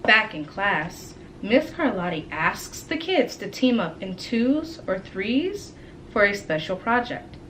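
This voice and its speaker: Animated woman reading a picture book. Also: medium pitch, slight background noise, rough recording. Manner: dramatic, engaging pauses and asides. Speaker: teacher reading a picture book aloud to children. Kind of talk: expressive storybook read-aloud with questions to listeners.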